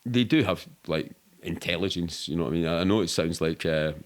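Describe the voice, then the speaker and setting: Man, low-pitched voice, middle-aged, Glaswegian accent, casual storyteller, candid, rambling, sweary. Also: a little fast. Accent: Glaswegian Scottish. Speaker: podcast guest.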